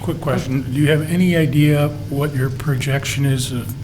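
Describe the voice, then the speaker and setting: Mid-pitched man, commissioner, plain questions, clear background noise. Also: fairly even intonation, normal speed. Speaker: commission member. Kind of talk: asking questions at a meeting. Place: council chamber.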